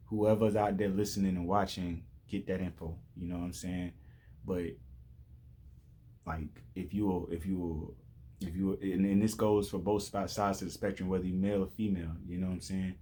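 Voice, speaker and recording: A man's voice: sits low, little pitch movement, moderate pace, warm tone. A man in his late twenties giving life advice in a loose, rambling monologue, with many restarts and "you know what I'm saying". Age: 20s. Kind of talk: casual motivational monologue.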